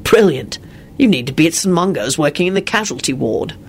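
A woman voicing a blunt adult wizard man who curses freely, in a lowered voice. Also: lively intonation, a little fast, slight background noise. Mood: enthusiastic. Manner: blunt, cursing.

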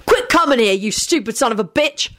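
A woman's voice: fairly high pitch, lively intonation, racing, a bit bright, voice pushed up. A woman voicing a rude, furious, foul-mouthed man in a fantasy story, snapping his words.

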